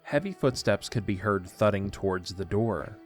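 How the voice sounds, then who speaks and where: A man's voice: fairly low pitch, animated, moderate pace, soft background music. A man narrating a scripted story for an audio drama.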